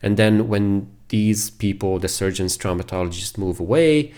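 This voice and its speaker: A man giving a medical lecture as a radiologist, speaking in a halting way with repeats and pauses. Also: on the low side, lively intonation, a little mellow. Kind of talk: medical lecture over slides.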